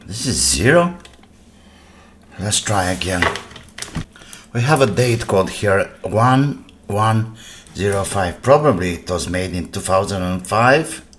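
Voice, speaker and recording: A man's voice: lowish voice, lively intonation, slow pace, long pauses. A man giving casual hands-on commentary as a repair video host, talking to himself in short phrases and asking and answering his own questions. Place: indoor workbench. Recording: clear background noise with workbench clatter.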